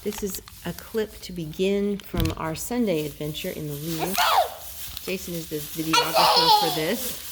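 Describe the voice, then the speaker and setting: Woman, lowish lively voice, storybook read-aloud with parental asides, clearly noisy home recording. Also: unhurried. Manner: expressive, chatting with young children. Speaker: parent reading a storybook to children.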